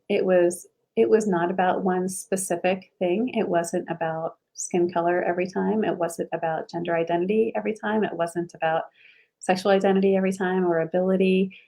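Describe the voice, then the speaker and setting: A woman's voice: fairly low pitch, creaky, a little mellow. A woman from Ohio, a school board candidate, answering interview questions in an earnest, reflective way with many fillers and restarts, over a video call. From Ohio, USA.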